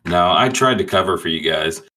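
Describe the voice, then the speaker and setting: A man's voice: low voice, animated, fairly quick, bright, forceful tone. A man playing a tabletop role-playing game in casual chat, blunt, sweary and joking. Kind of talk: casual chat, improvised play.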